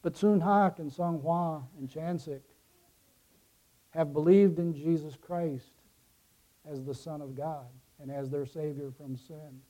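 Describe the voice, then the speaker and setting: Guy preaching a sermon, really slow and deliberate, soft mellow tone, punches phrases then trails off. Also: fairly high pitch, steady tone, rough recording. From Ecuador, raised by American missionary parents. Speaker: pastor preaching to his congregation.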